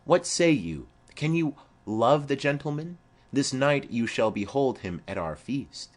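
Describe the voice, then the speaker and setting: A man voicing a formal, insistent noblewoman mother in a Shakespeare play reading. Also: medium pitch. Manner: formal, imperative.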